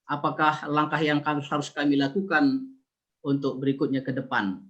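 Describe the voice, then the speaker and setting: A man's voice: a little high, fairly even intonation, moderate pace, fairly bright tone, highs cut off. A man from Karo asking earnest questions at a seminar, repeating his points with hesitant fillers. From Karo, North Sumatra.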